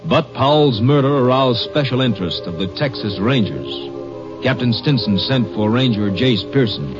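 A man's voice: mid-range voice, expressive, normal speed. A man delivering dramatic scripted narration in the style of a 1950s radio drama. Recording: dull old radio recording with music. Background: music.

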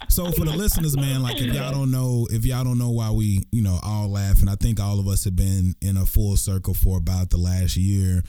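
Chill low podcast host dude, warm dark voice, dry room. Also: male voice, brisk, few pauses, clear, resonant tone. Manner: relaxed, slangy, laughs easily. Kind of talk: casual podcast chat.

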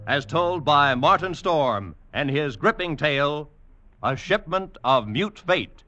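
A man's voice: fairly high pitch, expressive, slow pace, loud music behind the voice. A man announcing a 1940s-style radio drama in dramatic, suspenseful scripted narration. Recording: muffled old radio recording.

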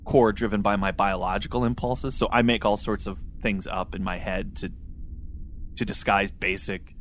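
A man's voice: lowish voice, fairly even intonation, normal speed, a bit bright, a little hiss or hum. A man co-hosting a podcast, talking casually about a book in a candid, self-deprecating, rambling way. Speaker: podcast co-host. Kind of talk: casual book discussion chat.

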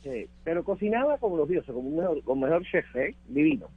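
A man's voice: a little high, sing-song, fast, vocal fry, breathy edge, narrow, tinny audio. A man with a Cuban accent reminiscing as an interview guest, emphatic and repeating words for effect. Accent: Cuban Spanish. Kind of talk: reminiscing conversation.

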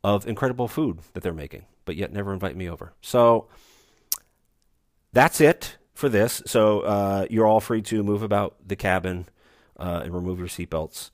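A man with a very low, soothing voice hosts a casual solo podcast, drifting from one aside to the next with dry, self-mocking humor. His speech is relaxed and meandering. The sound is close and dry, with almost no room around it. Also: moderate pace, dry recording. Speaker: podcast host. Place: recording remote, away from studio.

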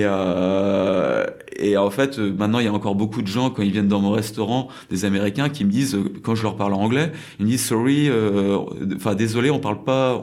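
Man from France, low voice, chatty interviewee, rambling with lots of fillers. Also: darkish tone. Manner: rambling, many fillers and restarts. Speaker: crêperie owner in South Korea. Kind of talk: casual interview storytelling.

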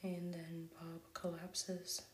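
A woman whispers a story very slowly and softly, in a somewhat low voice. The room rings with echo, and a faint hiss sits under her voice. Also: monotone. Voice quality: soft whispering. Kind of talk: whispered ASMR story retelling.

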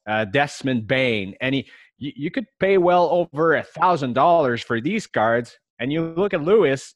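A man's voice: medium pitch, no room sound. A man whose first language is French, giving casual market commentary as a guest investor and collector.

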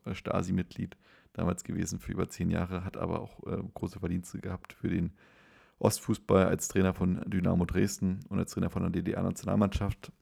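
A man chatting casually as co-host of a football podcast, in a halting way with self-corrections. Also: low-pitched, gravelly, a little mellow, slightly rough. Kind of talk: casual conversational football discussion.

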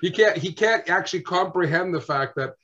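A man telling lively stories as a guest on a video call, acting out the dialogue as he goes. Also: high voice, a little fast, dull audio, slight background noise. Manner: animated storyteller, acts out dialogue. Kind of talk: casual storytelling chat.

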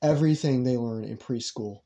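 A man's voice: mid-range voice, moderate pace, crowd noise. A young man in casual group conversation, speaking in an emphatic, opinionated way.

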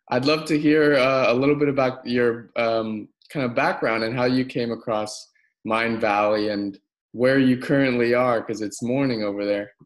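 A man with a medium-pitched voice hosts an interview. He speaks in a casual, chatty style, putting questions to his guest. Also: steady tone, measured pace.

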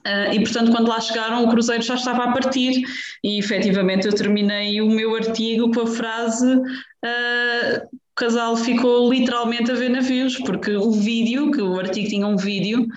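A woman's voice: on the high side, little pitch movement, moderate pace, echoey room, muffled recording. A woman journalist with a European Portuguese accent, chatting in a radio interview, rambling and hesitant with many restarts. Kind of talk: casual radio interview chat.

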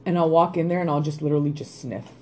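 A woman's voice: deep voice, fast talker, slight background noise. Swearing, jokey woman podcast co-host. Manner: swears freely, animated and jokey. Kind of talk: casual chat.

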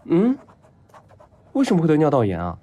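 High-pitched, excitable, flustered young guy. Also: moderate pace, highs cut off, a little hiss or hum. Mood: flustered, defensive. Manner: stammering, whiny, exclamatory. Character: flustered, smitten, excitable young male patient. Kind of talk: audio drama acting. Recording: music behind.

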